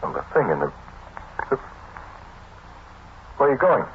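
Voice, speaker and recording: An actor playing a brave man trapped in the dark, quietly reassuring in hesitant, broken phrases, in 1940s radio horror style. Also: mid-range voice, big pitch swings, a little slow. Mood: uneasy. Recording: tinny, hissy old-time radio recording.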